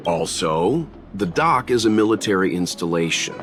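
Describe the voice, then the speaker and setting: Low-pitched, dry skeptical young man. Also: expressive, moderate pace, a bit bright, faint music behind. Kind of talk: video game voice acting.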